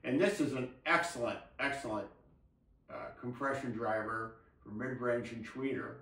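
An older man chatting casually about technical hobby matters as a co-host, explaining haltingly and repeating words. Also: medium pitch, a bit bright. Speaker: co-host of an audio hobby show. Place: indoor room with table and blinds. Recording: roomy, echoey, distant mic.

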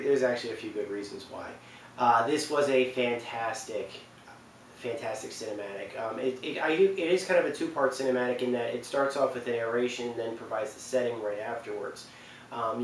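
A young man talks off the cuff in a roomy space, some distance from the mic. His delivery is casual and halting, like a host presenting a list from his couch. There is a faint noise in the recording. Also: mid-range voice. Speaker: video host presenting a ranking. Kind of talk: casual unscripted talk to camera. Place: small indoor living room on a couch.

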